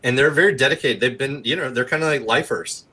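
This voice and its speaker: A man's voice: medium pitch, quick pace, sharp tone, slightly rough. A man chatting casually as a guest in an interview. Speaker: guest actor and producer. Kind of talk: casual chat.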